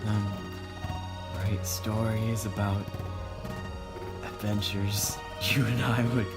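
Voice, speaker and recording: A man voicing a bashful, bookish male cyborg, gentle and wounded, confiding hesitantly in a visual novel game voiceover. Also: on the low side, little pitch movement, takes it slowly, over music.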